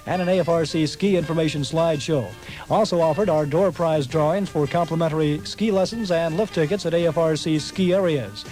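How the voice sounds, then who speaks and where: A man's voice: fairly high pitch, darkish tone. An American man reading a scripted promotional radio spot as an announcer. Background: faint music.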